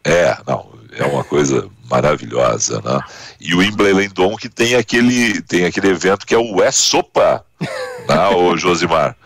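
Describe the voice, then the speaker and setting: A man in his thirties with a Gaúcho accent hosting a radio interview, chatty and animated, full of tag questions. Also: deep voice, normal speed, no room sound, studio quality. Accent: Gaúcho Portuguese. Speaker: radio host. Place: radio studio. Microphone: studio mic with headphones.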